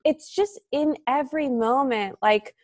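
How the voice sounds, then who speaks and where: A woman's voice: sits high, a little slow, no echo, clean studio sound. A woman in her thirties, an author and interview guest, talking in a casual, reflective way with many fillers. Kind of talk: casual podcast conversation.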